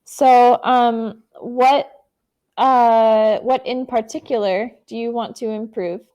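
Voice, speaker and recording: A woman's voice: fairly high pitch, steady tone, slow pace, dark tone, clear, resonant tone. A woman from the United States tutoring English in an online conversation over a video call, speaking encouragingly with frequent fillers.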